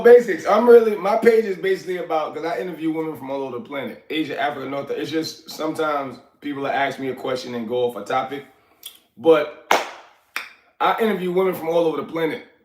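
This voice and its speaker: A man's voice: fairly high pitch, fast talker, darkish tone. A man in his forties from Liberia, livestreaming from his apartment in a loose, rambling way, answering chat and still getting over a sickness. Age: 40s. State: recovering from illness, coughing. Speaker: livestream host. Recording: echoey room.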